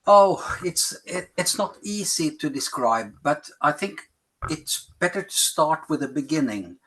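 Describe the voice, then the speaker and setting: A man's voice: fairly high pitch, animated, a bit bright. Norwegian-accented man searching for words. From Norway. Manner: searches for words, frequent pauses and fillers. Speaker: health policy advisor. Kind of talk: podcast interview.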